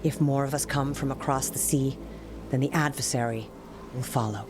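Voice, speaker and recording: An actor playing a Qunari scholar and translator, grave and formal. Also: female voice, rumbling low, fairly even intonation, normal speed. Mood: reciting. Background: music.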